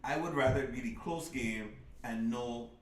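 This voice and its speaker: A man's voice: mid-range voice, moderate pace, recorded from a distance, a little hiss or hum. A middle-aged man co-hosting a casual sports talk show, earnestly building his argument step by step. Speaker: podcast co-host. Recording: roomy sound, mic set back.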